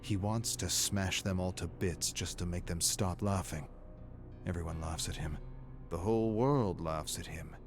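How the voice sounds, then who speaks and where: A man reading a scripted dark story as a narrator, in a measured, grave and brooding manner. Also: low-pitched, music in the background. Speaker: narrator of game lore readings.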